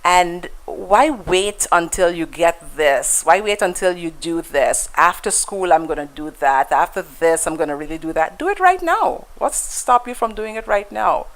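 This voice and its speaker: A woman's voice: on the low side, animated, normal speed, hardly any pauses. A woman with a Guyanese accent, a guest in a conversational interview, telling stories in a reflective way with frequent fillers and restarts.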